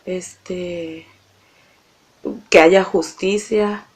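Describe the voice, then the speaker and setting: A woman giving halting spoken testimony, hesitant and plain-spoken as she appeals for justice. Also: lowish voice, steady tone, a little mellow. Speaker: victim's relative appealing for justice.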